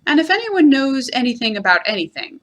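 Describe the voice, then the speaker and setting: Storybook bear female reader: small, friendly, earnest and helpful, highish lively voice. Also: brisk. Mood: earnest, determined. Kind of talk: children's storybook read aloud.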